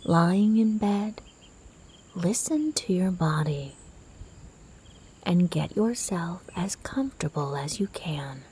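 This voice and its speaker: Female meditation guide: mellow, half-whispered and breathy, gentle, soothing delivery at an unhurried pace. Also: lowish voice, expressive. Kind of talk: guided sleep meditation narration.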